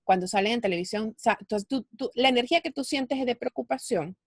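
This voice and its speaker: A woman with a fairly high voice talks through her ideas in an interview. She explains patiently and thinks aloud as she goes, and her sound is close and dry, with no room around it. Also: fairly quick, dry recording. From Venezuela. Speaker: guest, finance speaker. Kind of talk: conversational interview.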